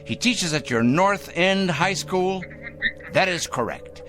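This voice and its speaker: An actor playing a fanatical, self-righteous male vigilante in a radio drama, swinging from hushed murmurs to shrill outbursts. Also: animated, slow, exaggerated voice. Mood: indignant. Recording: faint music score behind.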